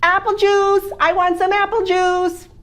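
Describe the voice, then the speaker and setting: A woman storyteller voicing a demanding baby boy who whines insistently in a high voice. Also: level tone, a little slow, a bit bright. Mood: whiny. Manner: high, whiny, insistent chant.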